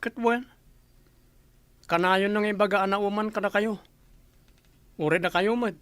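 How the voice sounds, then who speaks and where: A man's voice: high-pitched, steady tone, a little slow, a bit bright, clean tone, no room sound. A man with an Ilocano accent playing a worried, questioning grown son in a radio comedy-drama. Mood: worried, reproachful.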